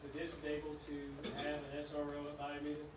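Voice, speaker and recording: A soft-spoken man leads formal questioning in a public meeting, his delivery flat and even. He is heard through a shared table mic from a distance, in an echoey, noisy room. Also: a little high, fast talker. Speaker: questioner in a hearing.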